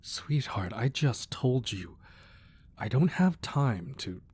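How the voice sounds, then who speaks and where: A man acting a gruff but tender, protective crime boss husband in an intimate, soft-spoken ASMR romance roleplay. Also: mid-range voice, very animated, slow pace, exaggerated voice. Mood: reassuring, reluctant. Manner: soft, intimate, affectionate murmur.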